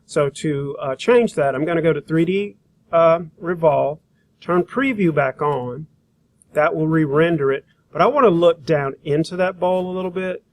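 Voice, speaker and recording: A man teaching a software demonstration, talking informally and thinking aloud as he works through each step. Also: on the high side, moderate pace. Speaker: graphic design instructor.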